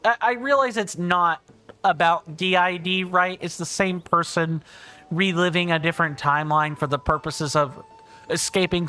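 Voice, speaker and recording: A man livestreaming a game, chatting casually and reading out viewer comments, with a big booming voice. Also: sharp tone. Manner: chatty, thinks aloud, reads viewer comments. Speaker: streamer. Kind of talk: casual gameplay livestream chat.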